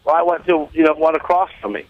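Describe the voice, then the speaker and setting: A man telling a rambling casual anecdote on a radio talk show, halting and repeating himself, with plain folksy grammar. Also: on the high side, expressive, dry recording, dull audio.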